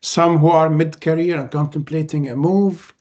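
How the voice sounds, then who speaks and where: A man from Lebanon, Arabic his first language, hosts a podcast chat in a voice pitched somewhat high. He talks in measured phrases, often pausing on "uh" as he gathers his thoughts. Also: fairly even intonation, a little mellow, muffled recording. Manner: measured, frequent "uh" pauses. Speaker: podcast host. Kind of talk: casual podcast conversation.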